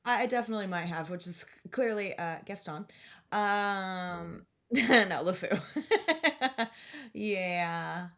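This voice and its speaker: A woman's voice: mid-range voice, lively intonation, slow pace, gravelly, a bit bright. A woman co-hosting a podcast, chatting casually in a wry, gushing and emphatic way with plenty of slang. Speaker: podcast co-host and comedy performer. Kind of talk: casual podcast chat about horror films.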